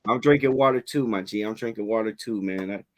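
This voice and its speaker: A man hosting a casual livestream interview, talking in relaxed slang, a little raspy from a head cold. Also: fairly low pitch, fairly quick, slight background noise. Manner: laid-back slangy hype, fillers like "you know what I'm saying".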